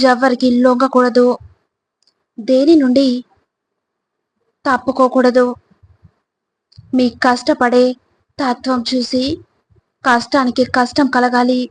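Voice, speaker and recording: A woman reading scripted motivational narration in a deliberate, instructive manner, addressing the listener directly. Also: high-pitched, little pitch movement, no room sound, low-quality recording.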